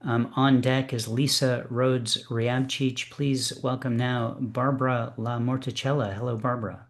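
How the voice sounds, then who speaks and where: A man's voice: medium pitch, level tone, measured pace. A man hosting an online poetry reading, speaking with many fillers and restarts. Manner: warm, hesitant, many fillers. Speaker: host. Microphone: video call.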